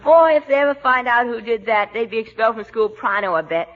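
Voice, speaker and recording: Woman playing a brash, fast-talking schoolboy. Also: a little high, background noise, lightly acted. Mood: excited, gossipy. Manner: slangy, excitable. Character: brash, gossipy schoolboy, eager and excitable. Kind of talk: 1940s radio sitcom acting. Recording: muffled 1940s radio recording.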